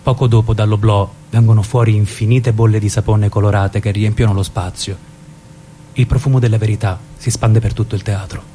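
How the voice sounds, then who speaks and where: A man plays a brooding companion who also narrates a tragedy, in a lowish voice. It is Italian stage drama for radio, with a faint rumbling noise behind him. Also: steady tone, brisk, mellow, close to an everyday voice. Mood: solemn narration.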